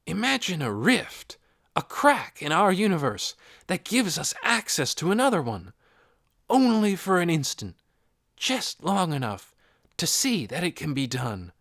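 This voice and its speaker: A man's voice: fairly high pitch, big pitch swings, measured pace, vocal fry, fairly bright tone, no room sound, studio quality, voice pushed up, full character voice. A man voicing an eccentric, secretive male astronomer in an audiobook, intense and emphatic, with clipped musing phrases. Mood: rapt, eager. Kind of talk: audiobook character voice.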